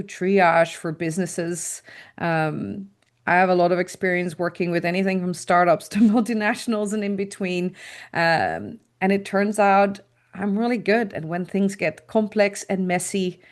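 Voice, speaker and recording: A middle-aged woman from the Netherlands with a Dutch accent, a guest consultant talking casually and thoughtfully, with frequent ums, over a video call. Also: mid-range voice. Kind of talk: casual interview talk.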